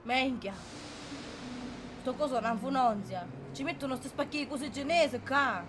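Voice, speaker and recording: Woman acting high, brash Sicilian hothead. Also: measured pace, background noise. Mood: grumbling. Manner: coarse, excitable dialect ranting. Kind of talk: amateur dialect film parody.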